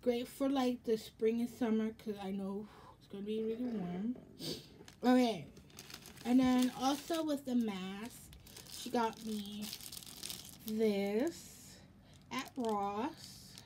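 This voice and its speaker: A young American woman chatting casually for a haul vlog in a rambling, halting way, with a slightly raspy voice. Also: a little high, warm tone, a little hiss or hum. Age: young adult. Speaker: vlogger. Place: indoors in a home room.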